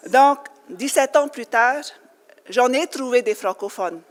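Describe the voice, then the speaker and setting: A woman's voice: a little high, unhurried. French Polynesian woman speaking in a hall. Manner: earnest, with light laughs and fillers. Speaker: conference speaker. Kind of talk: personal speech to an audience. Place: conference hall. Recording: long hall reverb.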